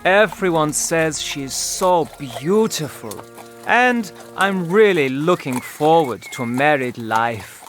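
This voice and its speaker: A man playing an earnest young nobleman in a storybook ghost tale, speaking solemnly and deliberately. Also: a little high, lively intonation, a bit bright, long reverb tail, soft background music. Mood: hopeful. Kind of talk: scripted audiobook character lines.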